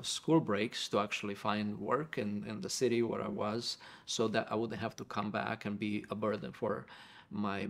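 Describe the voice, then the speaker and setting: A man's voice: lowish voice, gravelly. A middle-aged man from Romania with a Romanian accent, a pastor and professor telling his life story earnestly and reflectively in an interview, often repeating words.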